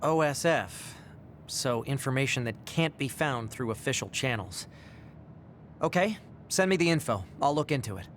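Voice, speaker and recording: A man's voice: medium pitch, expressive, normal speed, a little hiss or hum, close to an everyday voice. A man playing a savvy young military informant in a video game, informal and laid-back. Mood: breezy. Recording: loud music behind dialogue. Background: loud game soundtrack music.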